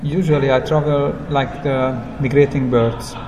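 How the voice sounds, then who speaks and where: A man who speaks English as a non-native language, telling travel stories as a guest in a casual interview, in simple, halting, reflective phrases. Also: medium pitch, fairly even intonation. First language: not English. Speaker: interview guest, long-term hitchhiking traveller. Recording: clear background ambient noise.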